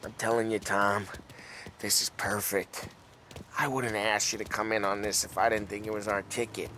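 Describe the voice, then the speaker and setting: A man's voice: fairly low pitch, little pitch movement, moderate pace, noisy background, close to an everyday voice. An actor playing a restless, hot-tempered gangster in his thirties with an American accent, in a dramatic game cutscene. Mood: earnest, persuading. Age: 30s. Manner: tough street slang, blunt. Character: hot-tempered, restless gangster nearing forty, small-time crook.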